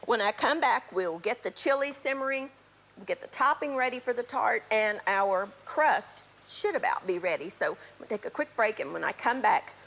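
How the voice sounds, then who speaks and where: A middle-aged woman, raspy from a cold, chattily walking viewers through a recipe on a live TV cooking show. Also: fairly high pitch, lively intonation, moderate pace, fairly bright tone. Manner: chatty instructional, throat clearing. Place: TV studio kitchen.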